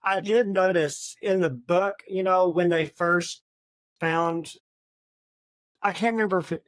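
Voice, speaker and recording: A woman's voice: on the low side, a little slow, dry recording. A woman co-hosting a book discussion, talking in a casual, conversational way. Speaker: book podcast co-host.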